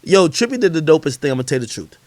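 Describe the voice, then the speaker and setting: Male livestream host, higher-pitched and very expressive, casual slangy storyteller, swears freely, dry close sound. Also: racing, no echo. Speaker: livestream host commenting on hip hop.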